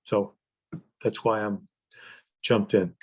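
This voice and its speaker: An older American man introduces himself in an online meeting. His voice is low and very even in tone. The call audio is dull and lo-fi. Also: slow pace, breathy edge. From US East Coast. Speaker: library trustee, retired physician.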